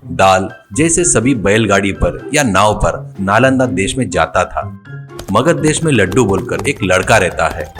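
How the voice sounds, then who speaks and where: A man narrating a scripted comic folk tale as a storyteller. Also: on the low side.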